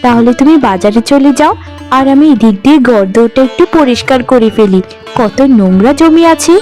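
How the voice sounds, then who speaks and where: A woman's voice: fairly high pitch, animated, a little fast, darkish tone, faint music behind, close to an everyday voice. A woman voicing a sweet, contented young village wife in a cartoon, agreeable and soothing. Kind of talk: cartoon voice acting.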